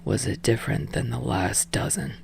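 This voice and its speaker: A woman voicing a blunt, unimpressed male film director in an audiobook, quiet, breathy and flat. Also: rumbling low, moderate pace, voice pushed down, full character voice. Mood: dry, unimpressed. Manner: dry, flat, deadpan.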